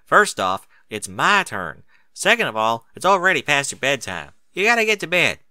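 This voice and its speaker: Guy as a bossy country mare, big sister type, blunt n folksy, lively storybook voice. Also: a little high, normal speed, no echo, pitched above the performer's own voice, heavily acted. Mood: bossy, firm. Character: bossy, down-to-earth country farm pony mare, protective elder sister.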